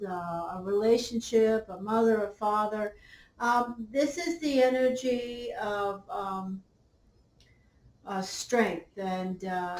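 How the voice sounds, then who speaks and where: Casting: tarot reader, woman, raised pitch, slightly hoarse, halting with fillers, roomy sound off the mic. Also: a little mellow, distant mic. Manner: slow, halting, many fillers. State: slightly losing her voice. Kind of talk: unhurried tarot reading. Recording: roomy, off-mic, faint ambience.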